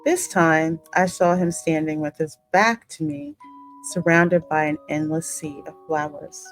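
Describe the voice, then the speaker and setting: A woman hosting a game stream, reading the story aloud expressively and reacting casually. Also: on the low side. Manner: expressive, dramatic read-aloud with casual exclamations. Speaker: gaming channel host. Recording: music behind the voice. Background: game music.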